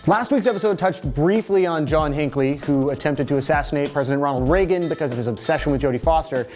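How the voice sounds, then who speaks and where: A man's voice: on the high side, fast, a little mellow. A young Canadian man from Toronto hosting a music video series, casually answering viewer comments with jokey, sarcastic asides.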